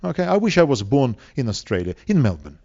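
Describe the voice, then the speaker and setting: A man from Siberia with a Russian accent preaches to an audience, speaking deliberately with rhetorical questions and emphatic pauses. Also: mid-range voice, sing-song, warm tone, lo-fi sound. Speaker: preacher, evangelistic seminar speaker. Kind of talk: sermon to a live audience.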